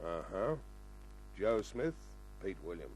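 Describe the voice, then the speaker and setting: An Australian man acting a gruff, world-weary police sergeant, terse and dry, in a 1950s radio crime drama. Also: low voice, big pitch swings, slow, a little mellow, faint music behind, exaggerated voice. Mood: grave. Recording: noisy old radio recording with hum.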